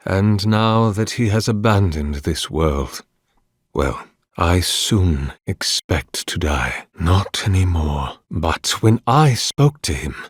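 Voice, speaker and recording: An actor playing an ancient, weary, devout priest in a video game, speaking formally and eloquently with solemn dignity. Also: male voice, deep voice, lively intonation, slow, breathy edge, no room sound, studio quality, full character voice. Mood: sorrowful, resigned. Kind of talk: dramatic video game dialogue.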